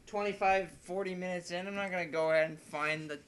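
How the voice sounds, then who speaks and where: A young man in casual, rambling podcast chat with friends, telling stories in an excitable, giggly way and acting out what people said. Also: high voice, fast talker, slight background noise. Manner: excitable, giggly storytelling with acted-out quotes. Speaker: podcast host and video maker.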